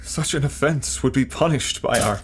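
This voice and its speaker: An actor playing an anxious, submissive tomb guard in a biblical audio drama, hesitant and nervous. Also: male voice, mid-range voice, normal speed, slight background noise, close to an everyday voice.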